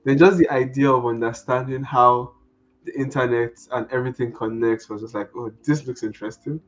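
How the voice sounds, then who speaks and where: A man's voice: mid-range voice, fairly even intonation, a little fast. A man with a Nigerian accent walks through a tech workshop in an easy, friendly way, restarting his sentences now and then. His voice comes through slightly dull, lo-fi call audio. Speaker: guest speaker, network engineer.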